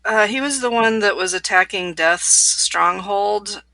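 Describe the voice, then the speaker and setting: A woman's voice: mid-range voice, unhurried, creaky, bright, forceful tone, dry recording. A woman co-hosting a podcast, casually discussing a book with others.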